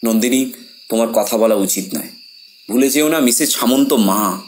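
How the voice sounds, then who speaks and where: An actor playing a composed, persuasive séance organiser who explains earnestly in an audio horror drama. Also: male voice, mid-range voice, moderate pace, slight background noise, close to an everyday voice. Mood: reproving, persuasive.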